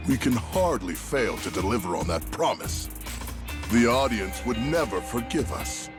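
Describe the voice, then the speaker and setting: An actor playing a smug, theatrical schemer in a video game, suave and formal, over music. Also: male voice, medium pitch, lively intonation, a little slow, close to an everyday voice. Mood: smug, amused. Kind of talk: dramatic video game acting. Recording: loud music bed behind voice.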